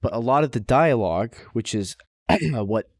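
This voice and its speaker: A man's voice: medium pitch, lively intonation, moderate pace, dry recording, clean studio sound. A man co-hosting a podcast in casual chat, often repeating his phrases and swearing casually. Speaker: podcast co-host.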